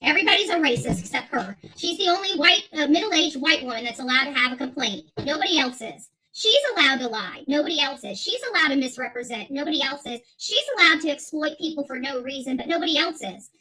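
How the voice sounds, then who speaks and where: A woman's voice: sits high, lively intonation, fast talker, hardly any pauses, sharp tone, rough recording. A middle-aged woman giving an unscripted livestream rant as channel host, talking emphatically and combatively and repeating her phrases. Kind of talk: unscripted livestream rant, reading and answering viewer chat.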